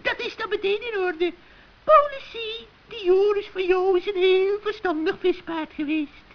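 A performer voicing a gleeful, scheming old witch in a children's radio play, shrill and cackling, with taunting mock sweetness. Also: unhurried. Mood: gloating. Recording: dull, muffled old radio recording.

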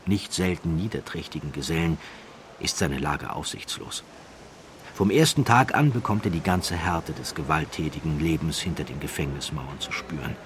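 A man narrating an audiobook story from a script in a measured, emphatic way, with a husky voice and deliberate pauses. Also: low voice, fairly bright tone, a little hiss or hum. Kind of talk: scripted dramatic narration of a true crime story.

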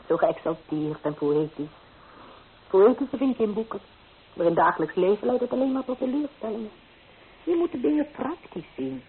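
A woman's voice: mid-range voice, narrow, tinny audio, close to an everyday voice. An actress playing a practical, anxious middle-aged mother in a Dutch radio drama, genteel, soothing and admonishing. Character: practical, anxious, proper mother of a bride, conventional. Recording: hissy, band-limited old radio recording.

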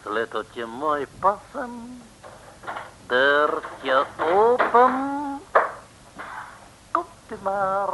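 An actor playing a sly, cringingly polite foreign villain in an old radio serial, talking in broken, formal phrases. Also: male voice, high-pitched, drawn-out words, long pauses, full character voice. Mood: sly, flattering. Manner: broken grammar, self-abasing flattery, formal address. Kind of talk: 1960s radio serial acting. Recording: noisy old radio recording.

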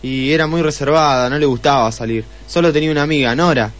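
An actor playing a nervous, defensive husband under questioning in a radio play, hesitant and stammering. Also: male voice, on the high side, fast talker, close to an everyday voice. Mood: puzzled, uneasy. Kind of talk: Spanish-language radio play acting.

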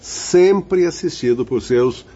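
A man's voice: on the high side, lively intonation, slow, warm tone. A man playing a courteous, instructive spirit guide in a radio drama, with a formal explanatory manner. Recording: dull lo-fi audio.

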